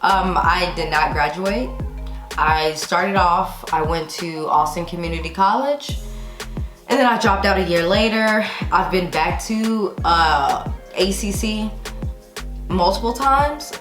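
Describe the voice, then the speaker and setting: Low-pitched American woman, roomy echo. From Texas, United States. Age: 30s. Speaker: YouTube vlogger. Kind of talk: casual chatty question-and-answer to camera. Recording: roomy echo with music behind. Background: background music.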